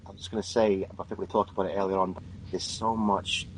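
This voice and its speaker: A man with a low voice talks in a flat, even tone. He speaks emphatically and with strong opinions, repeating words as he starts his sentences. The talk is a casual panel chat. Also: fast, slight background noise, rough recording.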